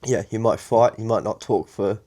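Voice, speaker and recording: A young man co-hosting a podcast, talking calmly and thoughtfully, with lots of fillers. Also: lowish voice, normal speed. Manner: thoughtful, calm, many fillers. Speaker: podcast co-host. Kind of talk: casual chat.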